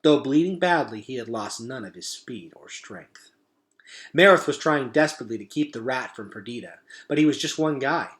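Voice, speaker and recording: A man's voice: mid-range voice, lively intonation, moderate pace, fairly bright tone. A man reading a children's novel aloud as a storyteller, with dramatic, suspenseful delivery.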